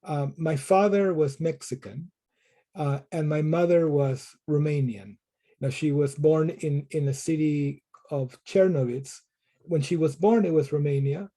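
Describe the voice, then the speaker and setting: Dark-toned man, reflective and halting. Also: a little high. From Peru. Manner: thoughtful, frequent word repetitions and restarts. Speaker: professor being interviewed. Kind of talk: conversational interview answers.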